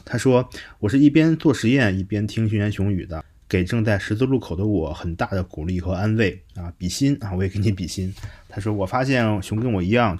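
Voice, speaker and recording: A man hosting a podcast in a casual, conversational way, pausing now and then as he thinks through his words. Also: fairly low pitch, a little mellow, husky. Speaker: podcast host. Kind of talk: casual podcast hosting, reading listener messages.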